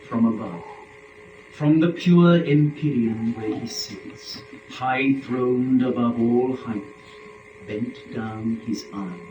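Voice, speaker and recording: A man giving a stately, measured dramatic recitation of classical epic verse. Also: lowish voice, dull audio. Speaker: dramatic reader of epic poetry. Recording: echoey room with music behind.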